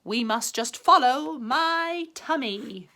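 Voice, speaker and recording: A Scottish woman voicing a gentle, hungry male teddy bear in a storybook, speaking slowly and mildly. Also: high voice, expressive, exaggerated voice. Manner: slow and mild.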